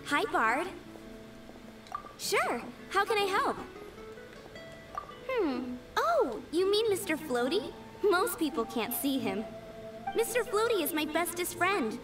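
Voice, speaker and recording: A cheerful little flower girl from an anime game, sounding a bit far from the mic. The voice is high, sweet and innocent, with dreamy, very animated pitch over music. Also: slow pace, long pauses, exaggerated voice.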